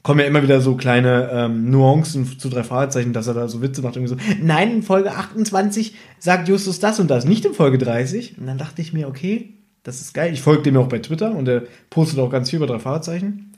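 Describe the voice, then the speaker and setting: A man's voice: medium pitch, animated, brisk, a little mellow. A man co-hosting a podcast in casual, playful, storytelling chat, sharing one microphone in a living room. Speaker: podcast co-host. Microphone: one microphone shared by two people.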